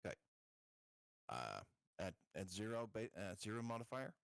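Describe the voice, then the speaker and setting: A man's voice: on the low side, big pitch swings, normal speed, fairly bright tone, dry recording, clean studio sound. A man chatting casually and a little hesitantly as a player in a tabletop game.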